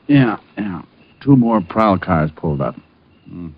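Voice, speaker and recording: An actor playing a wisecracking, cool-headed private detective in an old-time radio drama, with a wry, offhand, playful way of talking. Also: male voice, low-pitched, very animated, a little slow, heavily acted. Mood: watchful, terse. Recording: muffled vintage radio broadcast with music.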